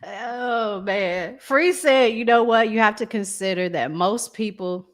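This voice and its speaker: Slow-paced American woman, casual co-host. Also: medium pitch. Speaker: talk show co-host. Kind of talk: casual relationship chat, reading out listener comments.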